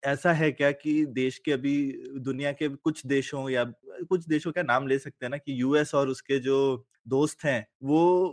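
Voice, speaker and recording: A male podcast host in relaxed conversational discussion. His delivery is colloquial and jocular, and he works his points out aloud, at a middling pitch. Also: unhurried, few pauses.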